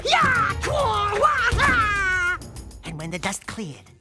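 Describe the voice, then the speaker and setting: An actor playing a small, cocky, wisecracking animal sidekick in a video game, brash, boastful and melodramatic. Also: male voice, very high-pitched, huge pitch swings, takes it slowly, loud music behind the voice, full character voice. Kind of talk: video game cutscene acting.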